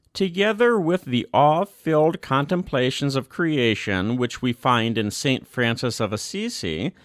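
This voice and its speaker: A man giving a scripted reading of a religious document, phrase by measured phrase, with deliberate emphasis. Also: mid-range voice, dry recording, clean studio sound. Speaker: reader.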